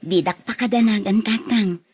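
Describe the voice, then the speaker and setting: A woman's voice: medium pitch, normal speed, slightly rough, no room sound, lightly acted. A woman playing a dutiful, long-suffering wife and mother in an Ilocano radio drama, earnest and reproachful. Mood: caring, earnest.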